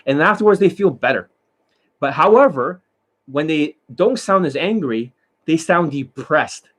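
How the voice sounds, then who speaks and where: A man lecturing as a dating coach in a blunt, profane rant, swinging between loud outbursts and low muttering. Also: animated, a little slow. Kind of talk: ranting monologue lecture.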